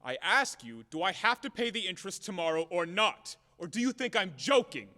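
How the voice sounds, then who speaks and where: An actor playing a blustering, hot-tempered boor in an audio play, loud and declamatory, scornful and bombastic. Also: male voice, high-pitched, sing-song, fairly quick, exaggerated voice. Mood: furious demanding. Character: blustering, hot-tempered, boorish man demanding repayment, cynical about women. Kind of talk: theatrical audio play acting. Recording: echoey hall-like reverb.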